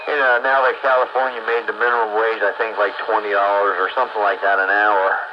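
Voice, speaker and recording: A man's voice: fairly low pitch, flat delivery, fast talker. An American man in casual conversation, talking in a rambling, agreeable way. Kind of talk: casual chat. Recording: dull lo-fi audio with clear background noise.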